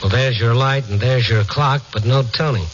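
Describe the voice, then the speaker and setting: An actor playing a wry, dogged investigator who narrates and questions people in a 1950s radio drama. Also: male voice, medium pitch, normal speed, background noise, natural voice. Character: wry, dogged male investigator narrating his night beat. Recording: muffled vintage radio recording, music behind.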